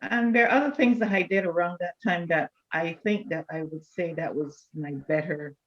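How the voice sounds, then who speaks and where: An older woman, reminiscing in a measured, deliberate way as an interview guest. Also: fairly low pitch, lo-fi sound. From Barbados. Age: older, around 70. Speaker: church elder, interview guest.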